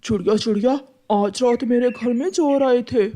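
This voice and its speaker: A woman's voice: a little high, moderate pace, warm tone, natural voice. A woman voicing an agitated, suspicious male pigeon in a children's cartoon story, insistent and repeating words. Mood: worried.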